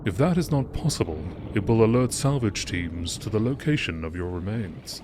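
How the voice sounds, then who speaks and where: A man's voice: on the low side, normal speed. A man reading game text aloud as scripted narration in a nature documentary style. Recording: steady background noise.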